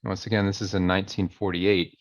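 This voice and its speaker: Relaxed man with a lowish voice. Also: no echo. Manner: reads quoted passages slowly and emphatically; relaxed, conversational, with fillers. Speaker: channel host. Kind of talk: casual commentary reading old newspaper articles. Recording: dry, dull lo-fi audio.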